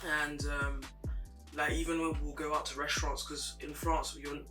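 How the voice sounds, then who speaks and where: A young woman with a deep voice, a guest speaking candidly and reflectively in an interview. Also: flat delivery, normal speed. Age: young adult. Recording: distant mic with music playing behind.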